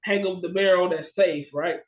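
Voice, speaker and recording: A man with a high voice talks casually as a podcast co-host. He tells stories in an animated way, often seeking agreement. The recording is echoey and somewhat muffled, with limited bandwidth. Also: moderate pace. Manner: animated storytelling with frequent "right?" check-ins. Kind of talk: casual movie chat, retelling plots.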